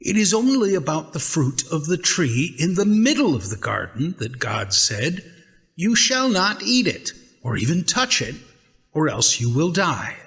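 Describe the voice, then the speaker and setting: A man's voice: a little high, big pitch swings, unhurried, creaky, a bit bright, in a large hall, pitched above the performer's own voice, full character voice. A man voicing the naive first woman in a dramatic scripture reading, earnest and hesitant.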